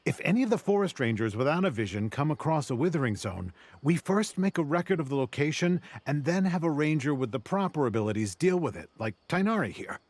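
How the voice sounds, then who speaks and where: A man's voice: medium pitch, no room sound. An actor playing a dutiful male forest ranger in a video game, explaining things earnestly. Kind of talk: English video game voice acting.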